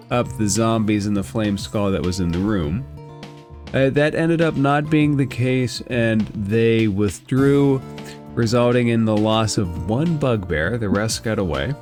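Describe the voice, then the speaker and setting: A man's voice: lowish voice, darkish tone. A man narrating a tabletop role-playing game as the game master.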